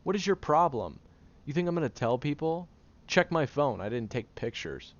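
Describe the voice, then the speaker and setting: A man's voice: fairly high pitch, very animated, normal speed, dull audio. A man playing a prickly, defensive young man who is sarcastic but secretly caring, in an audio roleplay spoken to the listener. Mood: flustered, indignant. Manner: gruff, sarcastic, flustered tsundere bickering.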